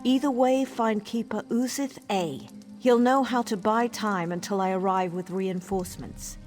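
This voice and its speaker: An actress playing a businesslike female scout in a fantasy game, calm, formal and measured. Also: medium pitch, soft background music, close to an everyday voice. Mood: calm, resolute. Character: prudent, businesslike female military scout giving orders. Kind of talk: fantasy video game dialogue.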